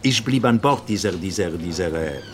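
A man's voice: low-pitched, lively intonation, normal speed. An actor playing an older French naval officer in a radio drama, with a French accent, searching for words and slipping into his native French. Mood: reminiscing, halting. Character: elderly French naval officer, talkative, worldly, halting memory.

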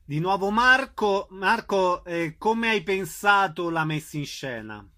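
A man's voice: high-pitched, expressive, slow pace, bright, forceful tone. A man hosting a radio interview, putting questions to guests and making announcements.

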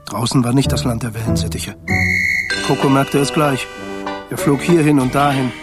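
A man playing a kindly stork in a radio play, telling a story to a child in a gentle, measured way. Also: mid-range voice, fairly even intonation, loud music behind the voice, lightly acted. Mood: suspenseful storytelling. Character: kindly male stork narrating a letter to a child.

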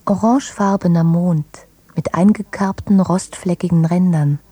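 A woman reciting literary prose in a radio production with measured phrasing. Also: on the low side, steady tone, dark tone, slightly rough, no room sound.

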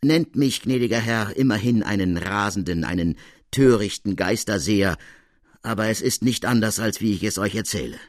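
A bitter, agitated police officer as a male narrator plays him recounts events in an audiobook. His low voice is dramatic and emphatic, in a dry recording. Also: normal speed, no room sound, natural voice. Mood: insistent, tormented.

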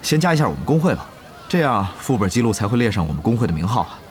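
A man's voice: lowish voice, rapid-fire, slight background noise. A man playing a practical young guild leader in a gaming audio drama, earnest and easily exasperated. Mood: polite, questioning. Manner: earnest, flustered, expressive. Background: faint music.

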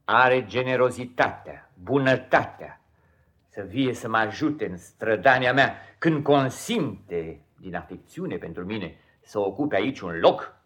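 An actor playing a cold, domineering, self-righteous husband in a radio play, pompous and stern, with deliberate theatrical delivery. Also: male voice, lowish voice, animated, a bit bright. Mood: indignant, pompous. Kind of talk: 1950s radio theatre acting.

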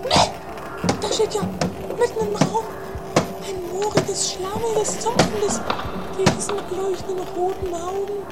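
A woman's voice: very high voice, level tone, a little slow, pauses often, rough recording. A woman playing a dramatic, fearful, boastful sister in a trashy comedy radio play, whiny and theatrical. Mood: theatrically scared.

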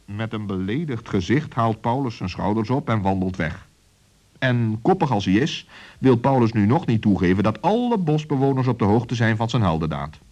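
A man's voice: lowish voice, big pitch swings, normal speed, darkish tone. A man narrating a children's story expressively, on an old recording.

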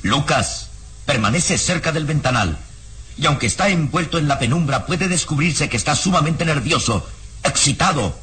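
A man acting as the solemn, suspenseful narrator of an old radio drama, in a measured delivery. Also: fairly high pitch, lively intonation, sharp tone, a little hiss or hum. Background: dramatic music.

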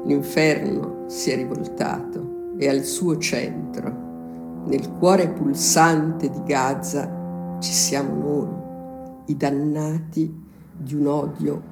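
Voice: medium pitch, flat delivery, takes it slowly, gravelly. A reader giving a grave, dramatic reading of a written testimony. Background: plucked guitar music.

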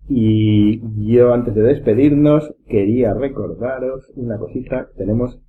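Man, Castilian Spanish, low pitch, casual rambling host, dull sound, faint background noise. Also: unhurried. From Spain. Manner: informal, rambling. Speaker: podcast host. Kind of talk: casual round-table chat.

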